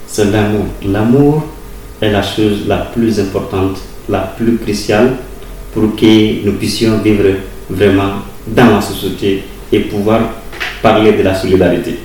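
A man answering interview questions in a formal, deliberate way, repeating words as he explains. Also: on the low side, fairly even intonation, a little mellow. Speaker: interviewee, university teacher of German and English. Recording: roomy distant recording with faint ambient noise.

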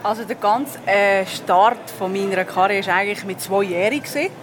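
A woman's voice: mid-range voice, moderate pace, background noise. A woman in her thirties with a Swiss German accent, a singer answering interview questions in a chatty way with many fillers. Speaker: guest singer.

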